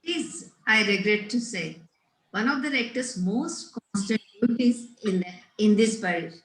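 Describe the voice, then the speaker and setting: A woman's voice: mid-range voice, animated, measured pace, echoey room, close to an everyday voice, poor audio. A woman playing a prim, severe, moralizing Victorian governess in a play read-through. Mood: disapproving. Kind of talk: amateur play table read.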